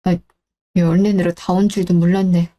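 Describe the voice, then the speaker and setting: A woman's voice: on the low side, level tone, moderate pace, warm tone, natural voice. A woman playing an elderly, doting mother and grandmother in a radio drama, apologetic, sighing and rambling as she talks. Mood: weary pleading. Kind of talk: Korean radio-drama story acting.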